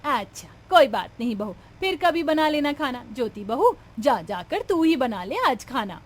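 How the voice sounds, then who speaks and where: A woman's voice: high-pitched, big pitch swings, moderate pace. A woman playing an elderly, partial, grumbling mother-in-law in a cartoon family drama, with a melodramatic, exclaiming manner. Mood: fawning, pleased.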